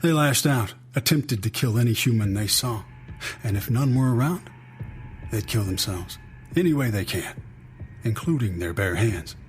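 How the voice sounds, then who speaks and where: An actor playing a weary, sardonic intelligence insider in an audio drama, explaining hesitantly and conversationally. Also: male voice, lowish voice, animated, moderate pace, a little hiss or hum, natural voice. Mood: grim, weary. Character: weary, wry male intelligence insider briefing an agent. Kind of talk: naturalistic audio drama acting.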